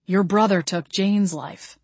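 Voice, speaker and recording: A woman's voice: mid-range voice, steady tone, takes it slowly, a little mellow, slightly rough, no room sound. Woman voicing a wry, long-suffering male vampire groom. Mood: countering.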